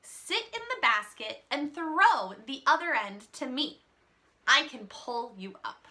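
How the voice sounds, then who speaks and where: A bright, high-voiced clever girl from a storybook, acted out by a woman, sweetly coaxing. She pleads with put-on innocence and swoops up and down as she talks. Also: very animated, slow, heavily acted. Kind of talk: children's storybook read-aloud.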